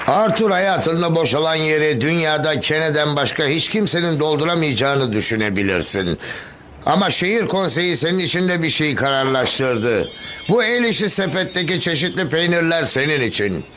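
A man's voice: fairly high pitch, expressive, normal speed, fairly bright tone, a little hiss or hum. A man voicing a solemn, slightly bumbling city official in a dubbed cartoon, delivering ceremonious public oratory. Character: solemn bumbling male city official giving a memorial speech.

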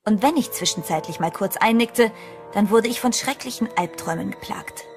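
Young female lead, played by an actress, earnest, uneasy, measured, medium pitch, clear music bed, horror audio drama. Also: lightly acted. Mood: reflective, uneasy. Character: sensible, uneasy young woman, narrating heroine.

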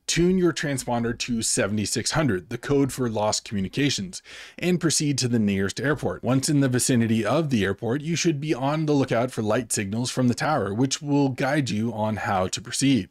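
An American man in his forties presents a lesson with a measured, methodical delivery. He sounds like an instructor talking to camera, recorded close at a desk microphone with very little room sound. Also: mid-range voice, no echo. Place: small dim home office studio. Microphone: desk microphone close in front.

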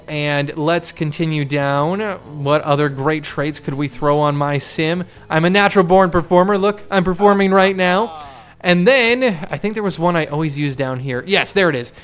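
A young man with a higher voice chatting casually and thinking aloud as he hosts a game playthrough. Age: young adult. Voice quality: higher-pitched, clear smooth voice. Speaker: gaming video host. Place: small home room, indoors. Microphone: desk microphone close to mouth. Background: game music.

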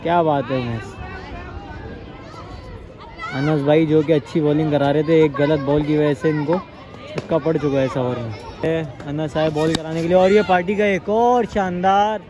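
Young male cricket caller, fairly high voice, excitable, crowd noise all around. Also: normal speed. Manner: excited, rising sharply on big shots. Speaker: cricket match commentator. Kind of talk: live amateur cricket commentary. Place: outdoor sandy ground with a crowd.

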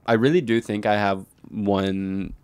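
Young American male co-host, lower register, clear tone, loose sweary chat peppered with "like", recorded dry. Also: fairly even intonation, a little slow, darkish tone, no echo. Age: young adult. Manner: slangy, heavy use of "like" and swearing. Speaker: podcast co-host. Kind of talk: casual comedic chat. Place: living room indoors, by a fireplace. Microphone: handheld microphone held close.

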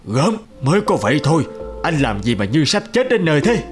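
A man's voice: a little high, sing-song, brisk, music in the background. An actor voicing a snooty male horse proud of his noble birth, haughty and dismissive, in a cartoon fable. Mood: disdainful.

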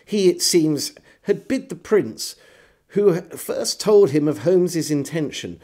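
Animated, mellow English male storyteller. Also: fairly high pitch. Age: middle-aged. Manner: measured, theatrical storyteller delivery. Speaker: storyteller and host. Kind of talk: dramatic literary reading of a historical diary. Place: book-lined room at home, indoors.

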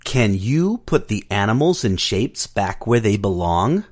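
A man narrating a children's storybook app, reading the story and gently prompting a child to tap and find shapes. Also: lowish voice, sing-song. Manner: slow, sing-song, coaxing prompts to a child. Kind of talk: children's read-along narration.

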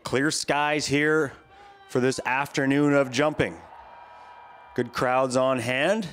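A man giving measured play-by-play commentary on a ski jumping competition, speaking as a voice-over. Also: mid-range voice, a little hiss or hum. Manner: calm, measured play-by-play, lifting on big jumps. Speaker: sports commentator.

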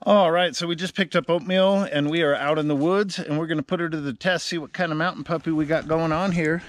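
A man's voice: a little high, steady tone, a little fast. A middle-aged man from California with an American accent, chatting casually to camera as a travel vlogger. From California, United States. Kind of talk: casual outdoor travel vlog, talking to camera and puppy. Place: outdoors in woods and desert, sometimes in a vehicle. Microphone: handheld action camera at arm's length.

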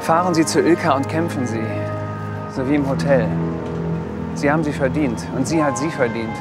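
An actor playing a brisk, ambitious lawyer with a sentimental side, formal and earnest, in a radio play. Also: male voice, mid-range voice, over loud music, natural voice. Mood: sincere, moved.

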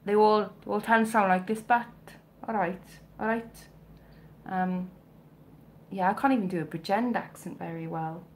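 A woman's voice: medium pitch, moderate pace, poor audio. A woman from South Wales in her thirties with a mild Welsh accent, chatting casually and joking as she answers comments on a home live stream. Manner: chatty, self-mocking, jokey asides. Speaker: vintage clothing reseller, livestream host. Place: home bedroom with shelves, indoors. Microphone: webcam or phone close up.

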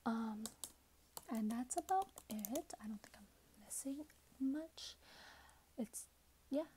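A woman in her twenties speaking in a hushed, breathy whisper, gently role-playing a customer service clerk for ASMR. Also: a little high, lively intonation, slow pace, a little hiss or hum. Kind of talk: soft-spoken ASMR role-play. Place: small plain indoor room.

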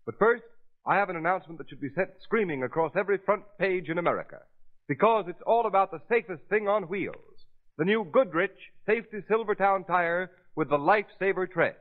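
A man's voice: sits high, expressive, a little fast. A man announcing in a polished, emphatic, dramatic 1930s radio style, reading from a script. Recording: thin, phone-like 1930s radio recording.

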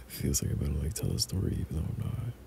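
A young man doing intimate boyfriend roleplay ASMR in a breathy, often whispered voice, speaking tenderly and hesitantly. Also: very deep voice, a little hiss or hum. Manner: tender, hesitant, softly chuckling. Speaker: boyfriend ASMR audio performer.

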